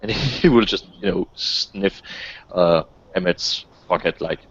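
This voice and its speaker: A man talking casually and tentatively as a player in a tabletop game session, halting as he goes. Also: deep voice, fairly bright tone, breathy edge. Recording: dull, lo-fi voice chat audio.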